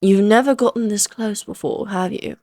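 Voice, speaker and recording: A woman's voice: mid-range voice, normal speed, mellow, no echo, lightly acted. A woman voicing an ancient, amused and self-assured female vampire who taunts the listener in formal, theatrical phrases, in a Victorian fantasy audio roleplay. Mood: amused, musing.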